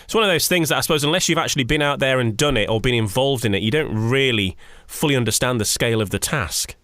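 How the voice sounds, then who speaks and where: A man's voice: mid-range voice, fast talker, a bit bright, no echo, studio quality. A man hosting a conversational radio interview, wording things in a rambling way and repeating words as he goes.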